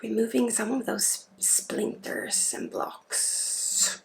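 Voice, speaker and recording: A woman gives a spiritual ASMR healing session, speaking softly and informally with pauses and often dropping into a breathy whisper. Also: on the high side, steady tone, a little hiss or hum, low-quality recording. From Sweden. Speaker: spiritual healer and ASMR host.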